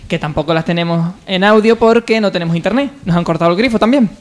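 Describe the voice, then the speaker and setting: Male comedy radio host, high-pitched voice, casual and hesitant delivery. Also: quick pace.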